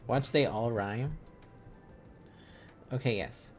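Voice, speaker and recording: A man hosting an informal language-learning livestream, thinking aloud in a halting, casual, self-correcting way. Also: medium pitch, lively intonation, soft background music. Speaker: livestream host learning Korean along with viewers.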